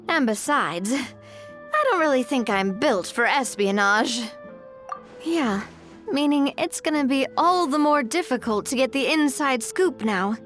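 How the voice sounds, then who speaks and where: A principled young woman from a video game. Her voice sits high and moves freely up and down. She speaks frankly and conversationally, with faint music underneath. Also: a bit bright, heavily acted. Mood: reassuring, casual. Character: earnest, principled young woman mediating for workers.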